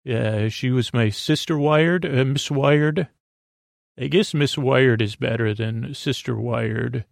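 Creaky-voiced man rambling softly at bedtime. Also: mid-range voice, no room sound, clean studio sound. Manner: halting, tangential, stumbling over words; soothing, lulling, whimsical. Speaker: podcast host. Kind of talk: rambling bedtime monologue to help listeners sleep.

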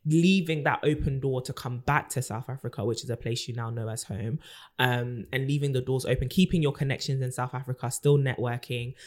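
A woman's voice: a bass voice, steady tone, brisk, a little mellow. A young woman co-hosting a podcast in casual chat, laughing often and giving advice at length. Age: young adult. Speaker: podcast co-host.

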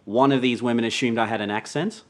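A man's voice: mid-range voice, fast. Laid-back man telling a story. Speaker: podcast co-host. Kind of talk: casual storytelling.

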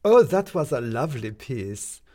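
A man voicing a polite, soft-spoken woman. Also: extremely low pitch, big pitch swings, a little slow, heavily acted.

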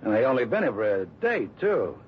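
An actor playing a tough, cocky crook on the run, talking slangy and menacing in a 1960s radio crime drama. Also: male voice, mid-range voice, big pitch swings, fairly quick, close to an everyday voice. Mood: gloating threat. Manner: slangy, menacing wise-guy talk. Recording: muffled old radio broadcast, faint hiss.

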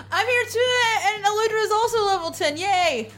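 A woman's voice: very high voice, animated, moderate pace. A playful, giggly woman chatting as a player on a casual tabletop podcast.

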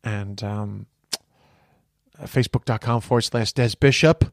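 A man's voice: lowish voice, steady tone, unhurried, no echo, clean studio sound. A man in his forties with an American accent hosting a podcast in casual chat, rambling with frequent restarts. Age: 40s. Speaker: podcast host.

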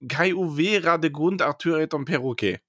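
A man's voice: a little high, moderate pace, a bit bright, no room sound. A man co-hosting a podcast in casual chat, hesitant and hedging, with dry humor. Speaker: podcast co-host.

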